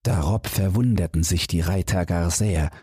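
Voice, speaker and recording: A man narrating a fairy tale audiobook for bedtime in a measured, expressive storytelling manner. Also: low-pitched, slightly rough, no echo, clean studio sound.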